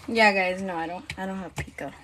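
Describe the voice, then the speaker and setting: A woman in her twenties chatting casually with her mother, relaxed and offhand with quiet asides. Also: medium pitch, brisk, slight background noise, poor audio. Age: 20s. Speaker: host's daughter. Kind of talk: casual off-the-cuff chat.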